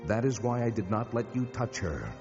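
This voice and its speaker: A man's voice: mid-range voice, big pitch swings, moderate pace. A man playing a stern, commanding God in a Bible audio drama, speaking with solemn authority. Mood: stern warning. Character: God, stern commanding divine judge in a dream. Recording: long cavernous reverb, dull audio, music.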